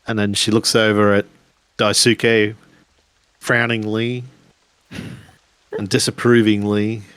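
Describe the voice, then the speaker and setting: Slow, low-voiced man narrating a game. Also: little pitch movement, a bit bright, smooth, no echo. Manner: measured, pausing between phrases. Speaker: tabletop game player. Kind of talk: improvised descriptive narration of a tabletop game.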